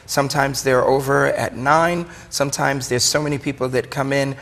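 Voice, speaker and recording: A man's voice: medium pitch, fairly even intonation, moderate pace, a bit bright. Brooklyn man in a very reverberant room. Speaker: wine shop owner, interview guest. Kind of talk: conversational, explanatory interview talk. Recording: long hollow room reverb.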